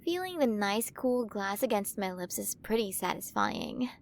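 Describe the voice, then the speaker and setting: A woman voicing an ancient alien queen in an ASMR roleplay, curious and playful, gently condescending and possessive toward her human. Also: medium pitch, sing-song, normal speed, gravelly, slight background noise. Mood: excited, curious. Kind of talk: ASMR roleplay, acted monologue to the listener.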